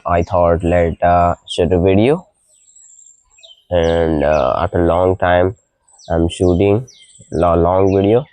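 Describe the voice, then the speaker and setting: Young man, deep voice with a cold. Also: a little mellow. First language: an Indian language. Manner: halting speech with many fillers and little laughs. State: has a cold, voice deeper than usual. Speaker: vlogger. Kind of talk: casual morning vlog, practicing English. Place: outdoor balcony with birdsong in the early morning. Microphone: mic with noise cancellation.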